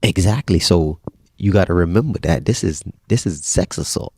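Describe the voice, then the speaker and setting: Deep gravelly man in his thirties. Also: normal speed, no room sound. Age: 30s. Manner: relaxed, conversational, frequent restarts and religious phrases. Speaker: podcast guest. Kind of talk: personal storytelling in casual conversation.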